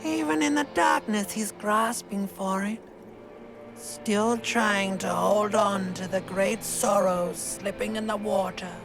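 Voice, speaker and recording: A woman's voice: mid-range voice, takes it slowly. A woman voicing an eerie voice of the limbic system in a game, sly, needling and theatrical, insinuating with knowing cruelty. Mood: sinister relish. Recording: music behind the voice.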